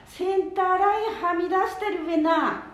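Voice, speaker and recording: A woman playing a hasty, excitable old grandma who scolds in a Nanbu dialect of northern Japan in an amateur radio drama. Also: extremely high pitch, normal speed. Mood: scolding. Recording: echoey room.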